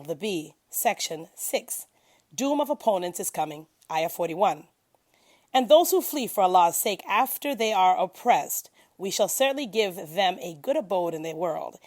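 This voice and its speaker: A woman's voice: lowish voice, animated, normal speed, a little mellow. A woman reading a translation of scripture aloud as devotion, in a solemn, declamatory manner with emphasis on key phrases. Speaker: scripture reader.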